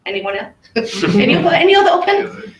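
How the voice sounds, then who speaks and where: A woman on a discussion panel, speaking in an animated way and asking rhetorical questions. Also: very high-pitched, brisk, a little hiss or hum. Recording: echoey room, distant mic.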